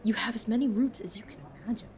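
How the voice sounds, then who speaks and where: A woman playing a brisk, protective vampire mentor in an audio roleplay, talking casually and teasingly to a new fledgling. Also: a little high, a little mellow, a little hiss or hum, natural voice. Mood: explaining, wry. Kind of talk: narrative audio roleplay, addressed to the listener.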